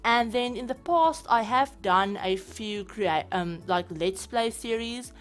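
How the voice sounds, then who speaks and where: A woman's voice: a little high, slow, faint music behind. Chatty South African woman narrating. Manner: chatty and rambling, many fillers like "like" and "yeah". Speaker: game building channel host. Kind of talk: casual voice-over commentary.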